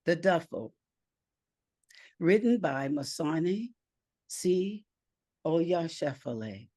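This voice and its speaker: A woman narrating a screenplay aloud with deliberate, measured phrasing. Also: sits low, expressive, a little mellow, dry recording.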